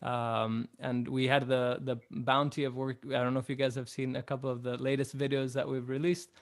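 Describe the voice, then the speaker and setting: A young man raised in Chile, a musician guest in an interview, answering in a relaxed, reflective way with many fillers. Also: medium pitch, fairly quick.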